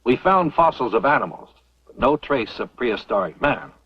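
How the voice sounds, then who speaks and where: Husky, animated older professor. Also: male voice, mid-range voice, no room sound. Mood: intrigued, explanatory. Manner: measured, expository, avuncular. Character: kindly, thoughtful older male professor. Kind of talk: vintage Western radio drama acting. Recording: dull old radio recording, faint music.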